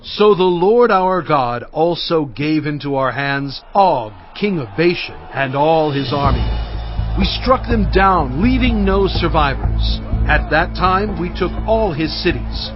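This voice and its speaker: A fairly high-pitched, solemn male leader tells his people's history in measured phrases. It is audio Bible drama, slightly dull in sound, with music underneath. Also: expressive. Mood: solemn recounting.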